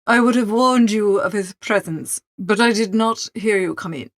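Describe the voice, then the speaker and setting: A woman plays a coolly deferential male valet from a storybook. The delivery is formal, measured and reserved, never ruffled. The sound is close and dry, without any room around it. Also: medium pitch, dry recording, natural voice. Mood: calm, deferential. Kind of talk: storybook character reading.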